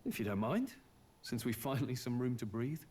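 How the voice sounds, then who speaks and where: A man's voice: medium pitch, very animated, fairly quick, a little hiss or hum, close to an everyday voice. A man playing an earnest, brooding young nobleman in a fantasy video game, speaking in a formal, articulate way. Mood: musing. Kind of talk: video game dialogue acting. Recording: loud music behind the voice.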